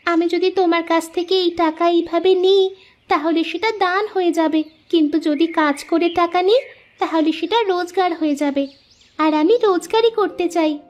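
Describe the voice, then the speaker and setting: A woman's voice: very high voice, moderate pace, darkish tone. A woman voicing a proud, honest young village girl in a moral story cartoon, speaking earnestly and firmly. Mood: earnest, polite.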